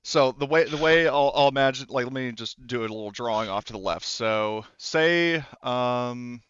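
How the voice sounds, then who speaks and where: A man's voice: medium pitch, normal speed, a bit bright, smooth, no room sound, lo-fi sound. A man working as game master, narrating a tabletop roleplaying game off the cuff.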